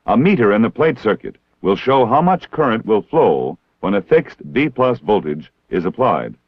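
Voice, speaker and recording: A low-voiced American man delivers formal instructional narration with lively rises and falls in pitch. His enunciation is measured and precise, and the sound is that of a dull, dated 1960s film soundtrack. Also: slightly rough, no echo.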